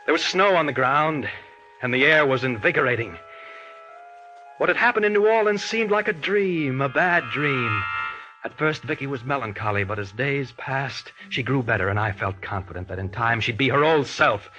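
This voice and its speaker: A man's voice: medium pitch, moderate pace, muffled recording, lightly acted. An actor playing a guilty, haunted man in 1940s radio style, moving between dramatic first-person narration and theatrical pleading. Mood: brooding, controlled. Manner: theatrical, swinging from hushed narration to agitated pleading. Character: guilty, haunted man narrating his crime, smooth-talking lover. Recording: vintage radio recording with music.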